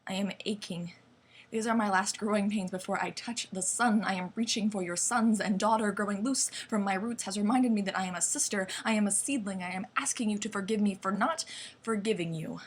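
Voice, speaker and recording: A young woman reciting slam poetry in a deliberate, dramatic way with weighted pauses. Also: mid-range voice. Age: young adult. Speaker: slam poet. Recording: clearly noisy amateur recording.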